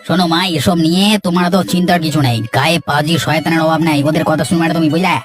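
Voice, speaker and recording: A man's voice: fairly high pitch, fairly even intonation, rapid-fire, faint music behind, lightly acted. A man playing an arrogant, jealous village troublemaker in a cartoon, brash, defensive and scornful. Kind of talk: Bengali moral-story cartoon voice acting.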